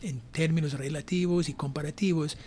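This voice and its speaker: Older male professor, American accent, native English speaker, thoughtful interview answers with frequent pauses. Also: a little high, fairly even intonation, a little mellow, a little hiss or hum. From United States (New Jersey). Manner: hesitant, reflective, frequent pauses. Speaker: academic guest, university professor.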